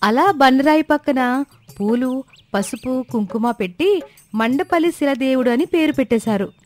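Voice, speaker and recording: Woman storyteller, raised pitch, scripted folk-tale narration, music playing behind. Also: lively intonation, moderate pace.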